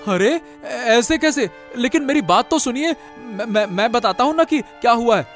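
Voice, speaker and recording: Man acting high-pitched pleading young villager. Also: expressive, moderate pace, a bit bright, soft background music. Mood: protesting, pleading. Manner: pleading, repeats himself. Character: desperate young male villager, wrongly banished, pleading. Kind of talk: Hindi cartoon moral-story acting.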